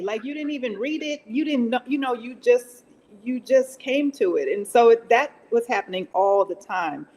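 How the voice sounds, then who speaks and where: A woman's voice: on the high side, a little mellow, rough recording. A woman speaking as an interview guest, earnest and effusive in a casual, conversational way. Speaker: podcast drama producer and actress, guest.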